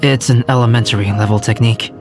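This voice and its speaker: Man as scholarly young alchemist, measured, polite, medium pitch, game dialogue, music behind. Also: fairly even intonation, close to an everyday voice. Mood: explanatory. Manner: measured, precise, courteous. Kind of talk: video game voice acting.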